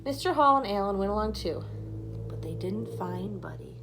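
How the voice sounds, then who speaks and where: A woman's voice: mid-range voice, expressive, moderate pace, creaky, over music. A woman reading a scripted children's story aloud as narrator. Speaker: storybook reader.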